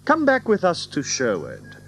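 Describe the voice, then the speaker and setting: Swinging, lively-pitched outlaw hero. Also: male voice, soft background music, exaggerated voice. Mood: genial. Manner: courtly archaic speech, measured and theatrical. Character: bold, genial outlaw hero of medieval England. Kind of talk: audiobook radio drama acting.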